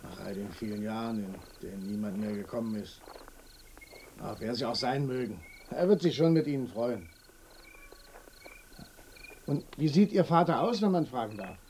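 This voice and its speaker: Guy playing a sardonic village muleteer in a radio drama, medium pitch, cryptic, faint insect noise behind. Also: expressive, darkish tone, lightly acted. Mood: wry, evasive. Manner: wry, cryptic, drawn-out interjections. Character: sardonic, fatalistic village muleteer, guiding a stranger. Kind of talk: German radio play acting.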